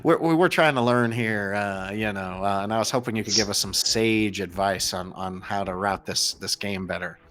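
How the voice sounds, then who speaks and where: Chatty, low-voiced American male commentator. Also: moderate pace, hardly any pauses, a bit bright. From United States. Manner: chatty, jokey. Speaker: host and commentator. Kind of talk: casual live gaming race commentary and interview.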